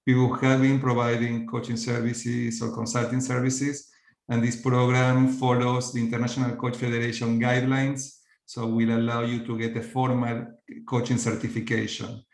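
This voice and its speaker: Middle-aged Argentine trainer hosting a virtual orientation, even and level, heard in a roomy echo. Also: male voice, medium pitch, normal speed. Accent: Argentine Spanish. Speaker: coaching program trainer, host. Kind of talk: online program orientation. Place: virtual meeting.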